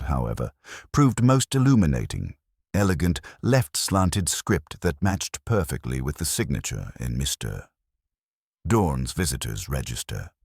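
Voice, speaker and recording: A man narrating a mystery audiobook from a script in a measured, formal storytelling manner. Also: a bass voice, darkish tone, dry recording, clean studio sound.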